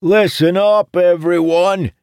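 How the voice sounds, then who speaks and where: A man voicing an excitable, theatrical necromancer in an audiobook, blurting and shouting his proclamations. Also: high voice, takes it slowly, clear, resonant tone, no echo, studio quality, pitched above the performer's own voice. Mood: loud proclaiming. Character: excitable, theatrical male necromancer, loud and incredulous.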